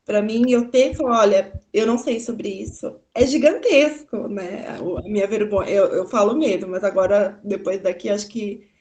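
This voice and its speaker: A woman sharing a personal reflection on a video call, soft-spoken and thoughtful. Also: medium pitch, moderate pace, hardly any pauses, slight background noise. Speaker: workshop participant.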